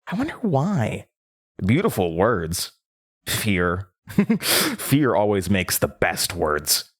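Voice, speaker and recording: A man's voice: fairly low pitch, huge pitch swings, takes it slowly, full character voice. A man playing a gleeful, unsettling word-devouring entity in a horror audio drama, theatrical and savoring each word. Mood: gleeful, taunting. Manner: theatrical, giggling, savoring words.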